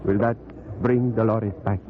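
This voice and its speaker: A man's voice: lowish voice, steady tone, measured pace, phone-quality sound, lightly acted. An actor playing a grave, fatherly priest who moralizes solemnly, in 1940s radio drama style. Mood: concerned, admonishing. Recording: noisy, thin 1940s radio recording.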